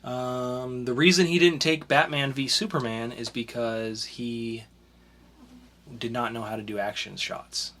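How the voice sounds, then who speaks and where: A man co-hosting a casual podcast, opening with a hyped intro and then chatting relaxed through the news. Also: medium pitch, a little slow, slight background noise. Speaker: podcast co-host.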